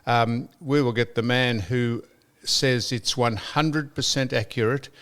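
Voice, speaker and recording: An older Australian man hosting a podcast, chatting in a rambling, storytelling way and giving listeners shout-outs. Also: mid-range voice, steady tone, a little slow, smooth. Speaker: podcast host. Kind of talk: casual chat.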